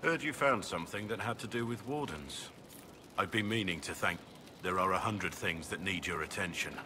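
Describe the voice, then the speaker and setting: An actor voicing a brooding, guilt-ridden middle-aged warrior in a video game, speaking gravely and formally with halting earnestness. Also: male voice, sits low, background noise, natural voice. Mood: grateful, earnest. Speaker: video game companion character. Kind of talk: dramatic video game dialogue.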